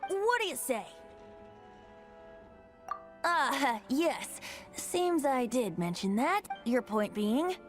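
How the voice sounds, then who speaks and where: A woman's voice: high voice, sing-song, drawn-out words, pauses often, faint music behind, full character voice. A woman voicing a playful, mischievous young male bard in a video game, with a teasing, theatrical way of talking. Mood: persuasive, playful. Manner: theatrical, teasing, grandiose phrasing.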